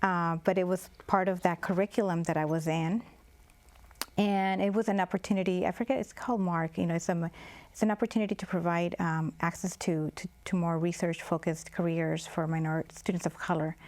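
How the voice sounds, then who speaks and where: A woman's voice: on the low side, a little mellow. Hesitant, thoughtful woman guest. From Mexico. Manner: thoughtful, hesitant, many fillers and restarts. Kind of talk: public-access TV interview.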